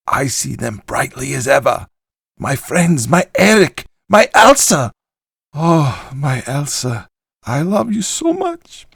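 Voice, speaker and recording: An actor playing a weary, haunted old puppet maker, reading his journal in a grave, deliberate, theatrical way for a game audio log. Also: lowish voice, creaky, no room sound, clean studio sound, heavily acted. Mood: grief-stricken, tender. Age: older.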